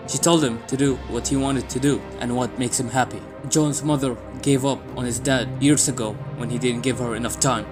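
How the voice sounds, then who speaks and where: A man reading a scripted story as its narrator. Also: mid-range voice. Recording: background music under the voice. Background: music bed.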